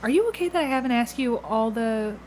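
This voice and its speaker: A woman's voice: a little high, animated, fairly quick, a little mellow, a little hiss or hum, poor audio. A woman hosting a casual podcast interview, inquisitive and rephrasing her questions as she goes. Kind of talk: casual chat.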